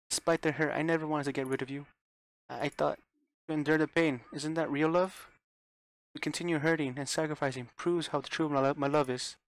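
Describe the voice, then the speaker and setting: A man's voice: on the high side, no room sound, pitched above the performer's own voice, lightly acted. A man voicing a desperate, unhinged young woman who pleads and stammers through repeated restarts. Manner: pleading, sobbing, stumbling restarts.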